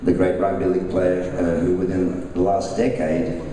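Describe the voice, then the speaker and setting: Low-voiced man in an echoey hall. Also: little pitch movement, mellow, slight background noise. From Russia, raised in Australia. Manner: halting, restarts sentences, formal introductions. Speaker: boxing promoter. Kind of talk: press conference announcing. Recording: echoey hall, mic far off.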